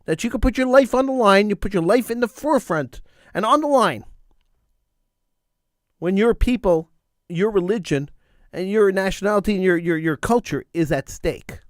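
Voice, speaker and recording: A middle-aged man with a fairly high voice delivers a fervent talk radio monologue. He hammers his points by repeating phrases, and a slight cold wears on his voice. The studio microphone sound is dry and close. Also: animated, normal speed, no echo. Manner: emphatic, repeats phrases for stress. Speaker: radio talk show host.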